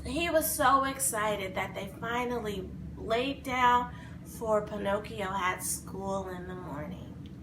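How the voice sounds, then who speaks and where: A woman telling a children's fairy tale in an expressive, dramatic, improvised way. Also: medium pitch, slow. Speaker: storyteller. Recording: roomy echo, faint background noise.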